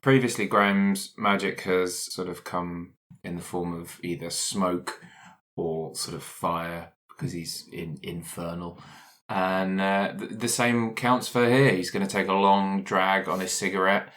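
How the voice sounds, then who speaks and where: A man speaking in a halting, hesitant way as he improvises and chats as a player in a tabletop roleplaying game. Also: low-pitched, fairly even intonation, fairly bright tone.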